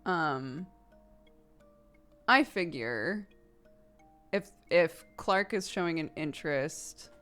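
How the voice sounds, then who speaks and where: A woman in her thirties chats casually as she plays a video game, her voice sitting a little low. She rambles from one thought to the next and breaks into laughter often. Soft game music plays faintly under her desk-mic voice. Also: lively intonation, extremely slow, pauses often. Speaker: streamer. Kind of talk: casual chat while playing a video game.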